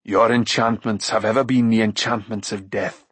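A man's voice: lowish voice, flat delivery, slightly rough, dry recording. Man voicing a grave, noble warrior prince. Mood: solemn, gentle. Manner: measured, solemn, gentle.

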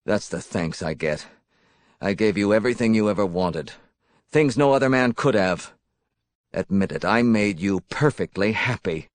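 A man's voice: on the low side, animated, moderate pace, dry recording, natural voice. A man voicing a hateful, self-pitying young man, a jilted obsessive lover in a story, bitter and accusing. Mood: cold spite. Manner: bitter, accusing, pleading, worked up.

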